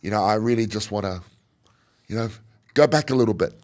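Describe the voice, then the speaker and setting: A man's voice: fairly low pitch, a little fast, darkish tone. A middle-aged man, hosting a casual interview with easy laughter and many fillers. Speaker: podcast host.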